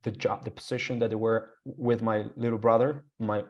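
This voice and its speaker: A man's voice: on the low side, level tone, normal speed, dull audio. A young man with a Colombian Spanish accent, a guest telling his own story in a casual interview, rambling with fillers and restarts.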